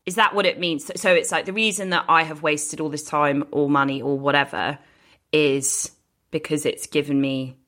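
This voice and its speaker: A woman's voice: deep voice, steady tone, normal speed, fairly bright tone. A woman co-hosting a podcast in casual chat, musing aloud and repeating phrases for emphasis. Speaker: podcast co-host.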